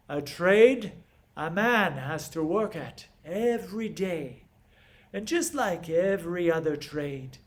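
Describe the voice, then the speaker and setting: A man playing a proud, scornful and stern king in a classical stage monologue, with theatrical, deliberate, emphatic delivery and dramatic pauses. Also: high voice, heavily acted.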